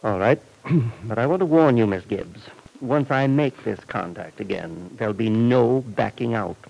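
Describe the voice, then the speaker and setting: A shady hired fixer in an old radio drama, with a lowish, very creaky voice. He is sardonic and domineering, laying out threats with deliberate menace. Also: darkish tone, rough recording. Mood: cool menace. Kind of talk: vintage radio serial acting. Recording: vintage radio drama recording.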